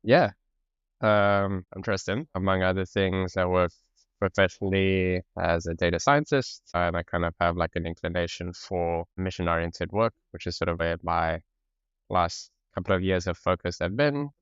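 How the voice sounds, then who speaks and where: A man's voice: sits low, fairly even intonation, normal speed, clean tone, no room sound, dull audio. A man with a mixed French and South African accent, talking as a podcast guest in an easygoing way, often laughing. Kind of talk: casual conversational interview.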